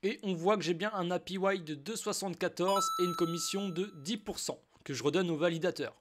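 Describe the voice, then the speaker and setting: A man's voice: sits high, a little fast, noisy background. A French man with a slight cold, explaining a crypto wallet in a casual, chatty screen-share tutorial, talking straight to the viewer. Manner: informal, addresses viewer as "tu", chatty asides. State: has a cold. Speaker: crypto YouTuber.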